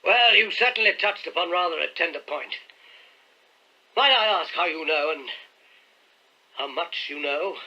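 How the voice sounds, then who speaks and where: A man reading an audiobook, voicing a nervous older country gentleman who exclaims with agitation. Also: fairly high pitch, expressive, a little slow, pauses often, heavily acted. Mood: agitated. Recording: dull lo-fi audiobook recording.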